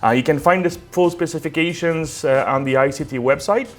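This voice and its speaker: Man, fairly high voice, technical tutorial, unscripted, conversational, explanatory, frequent check-ins, faint music behind. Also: a little fast. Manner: conversational, explanatory, often checks with "okay" and "all right". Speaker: technical support director presenting a tutorial. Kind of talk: unscripted step-by-step software tutorial.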